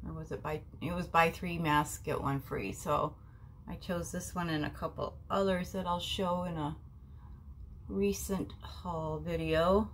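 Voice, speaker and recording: A woman's voice: lowish voice, steady tone, unhurried, a little hiss or hum. Woman with a stuffy cold voice. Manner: chatty, talks to viewers as family, frequent ums. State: nasal from a cold. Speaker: beauty vlogger. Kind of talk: casual skincare review vlog. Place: small bedroom at home, indoors. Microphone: camera in front at desk distance.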